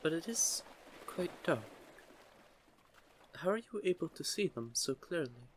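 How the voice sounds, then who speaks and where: A man voicing a curious, teasing young merman in an intimate audio roleplay, with a gently formal, measured way of talking. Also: fairly high pitch, sing-song, slight background noise. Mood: curious, polite. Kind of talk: scripted audio roleplay, intimate one-sided conversation.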